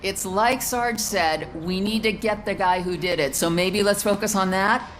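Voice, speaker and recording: An actress playing a friendly, level-headed police officer in a video game, speaking casually. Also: female voice, mid-range voice, moderate pace, lightly acted. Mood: calm, firm.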